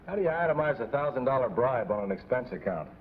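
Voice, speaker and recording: A man's voice: mid-range voice, expressive, fast, over music, natural voice. A man playing a doubtful, grumbling sidekick with a dry, skeptical delivery in a 1950s TV adventure drama. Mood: wry complaint. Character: doubtful, grumbling male American sidekick agent. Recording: tinny old TV soundtrack, clear noise.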